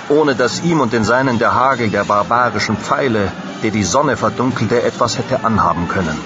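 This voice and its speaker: An actor playing a proud Macedonian soldier who solemnly and fervently recounts a glorious battle in an audio drama. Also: male voice, mid-range voice, background noise, close to an everyday voice.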